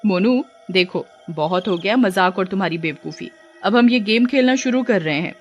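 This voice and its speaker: Bold young woman, voiced by a female storyteller, stern and earnest, mid-pitched, over faint music. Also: moderate pace, dull audio, natural voice. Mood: stern warning. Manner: earnest, warning delivery. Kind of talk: scripted Hindi audio story acting.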